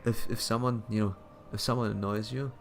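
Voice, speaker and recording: A man giving casual commentary as a streamer, halting and repeating his phrases as he thinks aloud. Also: lowish voice, fairly even intonation, soft background music. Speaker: streamer reacting to another stream.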